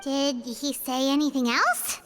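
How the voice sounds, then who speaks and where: A woman voicing a tiny, excitable childlike fairy companion in a video game. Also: measured pace, in a large hall, soft background music. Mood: curious. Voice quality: squeaky, childlike. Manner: speaks of herself in the third person, exclaiming. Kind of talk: video game character acting.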